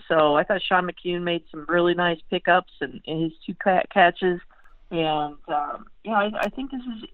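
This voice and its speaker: A woman's voice: deep voice, no room sound. An American woman gives conversational sports analysis over a narrow, tinny phone connection. She speaks as a guest writer, often doubling back to rephrase, and there is little room sound around her voice. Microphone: phone call.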